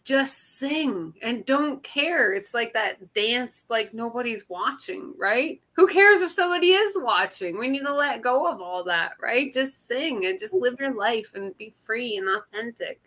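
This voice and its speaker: A middle-aged woman with a very high-pitched, distinctive, kid-like voice, sharing casually and heartfully with a group. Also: expressive, unhurried, hardly any pauses, fairly bright tone. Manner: laughs readily while talking. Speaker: singer in a drumming circle.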